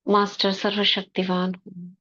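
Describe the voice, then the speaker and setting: A woman guiding a spiritual meditation in slow, soft short phrases with long pauses. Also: medium pitch, level tone, dull audio. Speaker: meditation guide. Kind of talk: guided meditation commentary.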